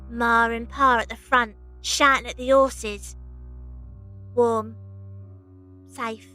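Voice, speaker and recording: Bright-voiced young circus runaway. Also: female voice, fairly high pitch. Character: young runaway circus woman, weary and homesick, plain-spoken. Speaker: narrator and lead character. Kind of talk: audio drama narration and dialogue.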